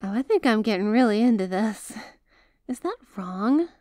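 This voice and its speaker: A woman's voice: medium pitch, animated, dark tone, no echo, studio quality, close to an everyday voice. A woman playing a doting, bashful yet possessive young mafia heiress in an intimate ASMR roleplay, speaking to the listener in a hesitant, coaxing way. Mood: earnest, lovestruck. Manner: hesitant, coaxing, affectionate, halting phrasing.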